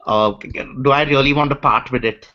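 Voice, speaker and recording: A man from India with an Indian accent, chatting casually on a video call and talking in halting bursts with many restarts. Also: mid-range voice. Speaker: hangout participant, coin collector. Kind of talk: casual group chat. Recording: dull lo-fi online call audio.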